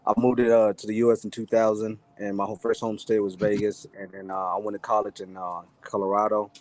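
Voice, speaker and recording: A man's voice: lowish voice, flat delivery, fast, darkish tone. A young man from Sudan calling in to a live show, talking in a laid-back, rambling way. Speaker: caller. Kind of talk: casual chat.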